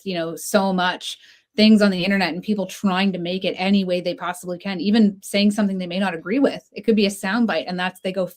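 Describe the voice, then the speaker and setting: A woman co-hosting a podcast, giving thoughtful, long, winding remarks in casual chat. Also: lowish voice. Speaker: podcast co-host.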